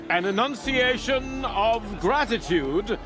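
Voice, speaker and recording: An actor playing a nervous royal envoy in a video game, giving a halting, formal proclamation. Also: male voice, sits high, full character voice. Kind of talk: stilted public proclamation. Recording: music and crowd behind voice.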